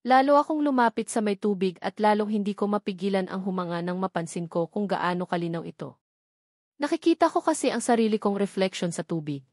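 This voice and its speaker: A woman's voice: mid-range voice, darkish tone, no room sound, clean studio sound. A woman with a Filipino accent reading a first-person story as a scripted audiobook narration.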